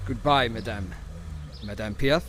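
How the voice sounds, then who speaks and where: A man's voice: fairly low pitch, unhurried, fairly bright tone, a little hiss or hum. Man playing a clipped, stern lieutenant. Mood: polite, hesitant. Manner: formal, clipped. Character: stern, formal German army lieutenant, male. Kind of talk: audio drama acting.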